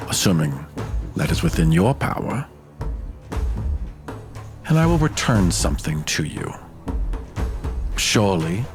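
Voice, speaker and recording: A man's voice: deep voice, voice pushed down, full character voice. A man voicing a wily, opportunistic soldier in a tabletop game, choosing formal and persuasive words. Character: wily, opportunistic male soldier bargaining for his life.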